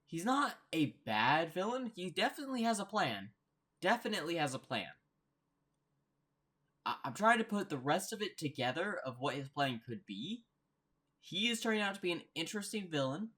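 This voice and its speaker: A man's voice: high voice, very animated, normal speed, pushed and bright. A man hosting a casual reaction commentary, thinking aloud and often repeating and rephrasing himself. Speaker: reaction host.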